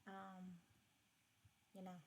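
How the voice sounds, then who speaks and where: A woman's voice: medium pitch, monotone, drawn-out words, slight background noise. A young woman chatting casually while playing a game, rambling with frequent restarts. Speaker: streamer. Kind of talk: casual gaming chat.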